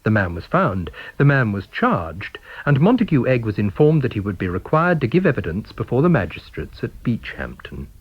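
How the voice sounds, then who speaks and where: A man's voice: mid-range voice, huge pitch swings, moderate pace, few pauses, breathy edge. A British man reading a scripted story as an audiobook narrator. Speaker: audiobook reader. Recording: dull, band-limited recording.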